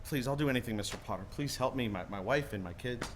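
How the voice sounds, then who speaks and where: A man's voice: mid-range voice, quick pace, slight background noise, lightly acted. An actor playing a desperate, humble young family man in a radio play, pleading and stammering. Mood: anxious, pleading. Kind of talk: 1940s radio play acting.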